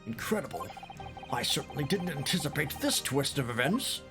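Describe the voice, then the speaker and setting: Streamer playing a stern judge, commanding, formal, pitched up, game music behind. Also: very animated, normal speed. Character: stern old court judge. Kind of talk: reading game dialogue aloud.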